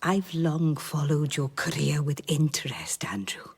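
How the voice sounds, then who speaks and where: A woman's voice: low voice, lively intonation, unhurried, husky, exaggerated voice. An actress playing an effusive, sentimental older woman with a Welsh accent in a radio drama, lingering on her words. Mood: gushing, affectionate.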